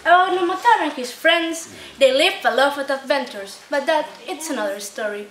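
A woman's voice: high voice, normal speed. A teenage young woman narrates a scripted story. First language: Spanish or Galician. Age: teens. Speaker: student narrator of a puppet show. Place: indoor library-like room at a day care centre. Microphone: distant camera mic. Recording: echoey room, clear background noise.

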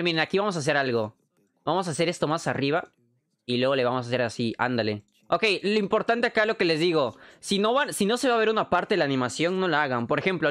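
A young man from Peru with a Mexican Spanish accent, chatting casually on a live stream, joking, excitable and full of slang. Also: a little high. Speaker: streamer and animator.

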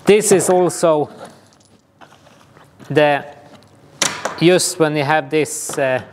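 A man, informally explaining outdoor gear to camera in a hesitant, halting way. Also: on the high side, noisy background. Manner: hesitant, halting delivery with frequent pauses. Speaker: ski touring guide and instructor. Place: small indoor gear shop room.

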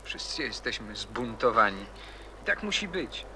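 A man's voice: lowish voice, sing-song, measured pace, pushed and bright, slight background noise, full character voice, low-quality recording. A man playing a rebellious, cynical teenage boy in a radio drama, insistent and moody.

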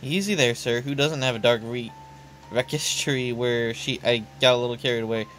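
A man's voice: medium pitch, normal speed, fairly bright tone, soft background music, pitched below the performer's own voice, lightly acted. A man voicing a nervous, shut-in young woman in a game who stammers and gets flustered.

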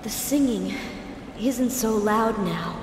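An actress playing a doomed, possessed young woman in a horror game, frail and sorrowful, with halting, breathy speech that lapses into whispers. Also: mid-range voice, natural voice. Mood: weary, eerie. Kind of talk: dubbed horror game acting. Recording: echoey cave reverb with noise.

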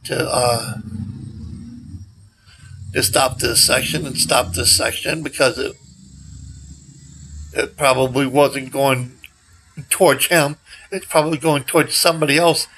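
An older man in his sixties talking casually and haltingly to a handheld phone outdoors, his voice recovering from a recent illness, often trailing off. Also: medium pitch, bright, forceful tone, slight background noise, rough recording. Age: older, around 60s. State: recovering from nearly losing his voice. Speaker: vlogger. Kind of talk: rambling casual selfie vlog. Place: outdoors beside a brick building. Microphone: handheld phone at arm's length.

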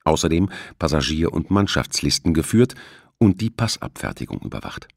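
A man narrates a novel in a low, slightly rough voice. His intonation moves around a lot as he tells the scripted story. The recording is dry and close. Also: animated, moderate pace, no room sound. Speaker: audiobook narrator.

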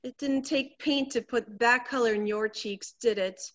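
A woman's voice: a little high, normal speed, highs cut off, natural voice. A woman playing a vain, anxious middle-aged wife in a stage comedy, melodramatic and fluttery. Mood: fussy, self-conscious. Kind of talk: theatrical stage comedy acting.

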